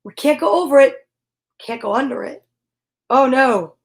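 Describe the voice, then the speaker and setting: A woman hosting an animated children's story read-aloud, with sing-song repetition, dramatic exclamations and casual asides. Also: sits high, normal speed. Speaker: host reading picture books aloud.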